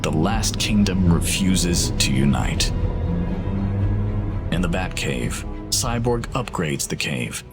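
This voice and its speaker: A man with a very low voice narrates a dramatic story. His reading is scripted and theatrical, set against loud music. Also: animated, takes it slowly, creaky, long pauses.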